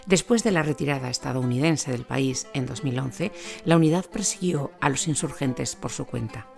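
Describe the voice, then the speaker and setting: Female narrator, low and heavily gravelly, scripted documentary read over faint guitar music. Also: moderate pace, darkish tone.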